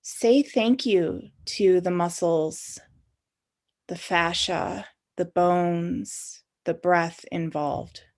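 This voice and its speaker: A woman's voice: lowish voice, drawn-out words, a little mellow. A woman leading a guided meditation in an informal, conversational and inviting way, as the guide of the session.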